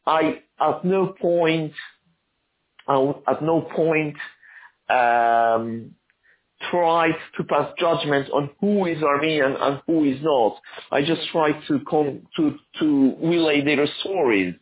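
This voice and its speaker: A man who grew up in Argentina, an author answering interview questions in a halting, thoughtful way with many restarts. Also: a little high, narrow, tinny audio.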